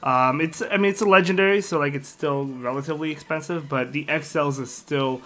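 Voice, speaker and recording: Hoarse, bright-voiced male gaming host. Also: fairly high pitch, brisk, slight background noise. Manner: rambling, repeats words, frequent fillers and chuckles. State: sick, partly lost voice. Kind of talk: casual game strategy commentary.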